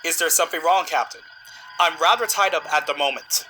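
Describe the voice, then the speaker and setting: Man acting as higher-pitched, dutiful starship lieutenant, noisy background. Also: brisk, sharp tone. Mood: polite, preoccupied. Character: respectful young male starship lieutenant and navigator. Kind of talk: science fiction audio drama acting. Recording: clear background ambience noise.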